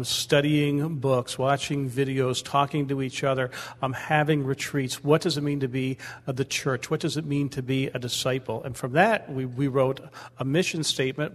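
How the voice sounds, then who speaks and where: A male church leader speaking earnestly on a panel, often stumbling and restarting his words. His voice is of middling pitch for a man. It rings with the long reverb of a large hall. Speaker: pastor. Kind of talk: panel talk.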